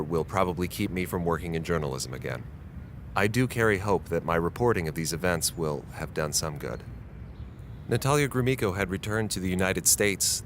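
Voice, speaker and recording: An actor playing a subdued, regretful American journalist in a radio drama. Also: male voice, low voice, fairly bright tone, a little hiss or hum. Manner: halting, awkward in conversation, measured when narrating. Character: subdued, regretful male American journalist filing his last report. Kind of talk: radio drama narration and dialogue.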